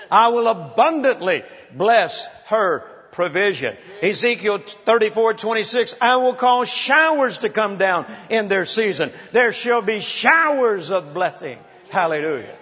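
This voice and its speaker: High-voiced preacher in his sixties. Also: fairly bright tone. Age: 60s. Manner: exhorting, calls for praise, playful storytelling. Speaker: pastor. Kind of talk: sermon. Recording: muffled sound in a large reverberant hall.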